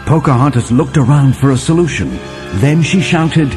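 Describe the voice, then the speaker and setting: A man's voice: mid-range voice, normal speed, music in the background, close to an everyday voice. A man playing a settler who recounts a winter adventure in vivid, dramatic children's story narration. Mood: storytelling.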